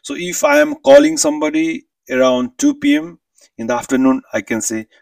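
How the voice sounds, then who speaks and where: Man teaching, lively swooping pitch, gravelly edge. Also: a little fast, no echo, recorded from a distance. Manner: didactic, repeats phrases for the learner. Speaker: language teacher. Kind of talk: instructional lesson narration.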